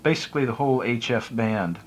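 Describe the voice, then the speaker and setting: A man's voice: medium pitch, animated, fairly quick, a little hiss or hum. An American man giving a casual, unscripted explanation as a video blogger, talking in a rambling, conversational way. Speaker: video blogger, radio hobbyist.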